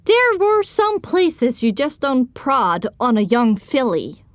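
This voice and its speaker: Young filly telling her own story, audiobook style, slightly high voice, super dry sound. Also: big pitch swings, takes it slowly, no echo, full character voice. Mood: indignant. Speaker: narrator. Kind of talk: scripted first-person audiobook narration.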